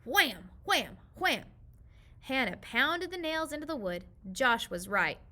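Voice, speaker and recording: A woman reads a children's picture book aloud. Her voice is bright and a little high, and her narration is expressive in a storytime way. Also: slow pace.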